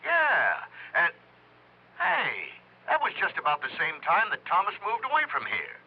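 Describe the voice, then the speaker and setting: A man's voice: high voice, wildly swooping pitch, measured pace, breathy edge, full character voice. An actor playing a streetwise male newspaper reporter, passing on gossip in 1950s radio drama style. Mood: gossipy. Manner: gossipy, slangy phone talk. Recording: dull lo-fi old radio recording.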